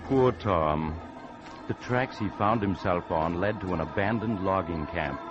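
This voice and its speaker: Very deep, sly horror storyteller. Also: male voice, unhurried. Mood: sly, macabre. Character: mysterious male storyteller host, sly and macabre. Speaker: narrator and host. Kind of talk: old-time radio scripted narration. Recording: dull vintage radio, music behind.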